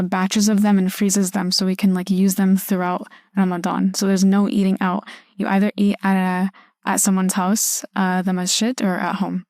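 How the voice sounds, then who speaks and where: A young American woman chats casually as a student podcast guest. Her tone is mellow, with little rise and fall. The sound is dry. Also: medium pitch, no echo. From California, United States. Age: young adult. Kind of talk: casual chat.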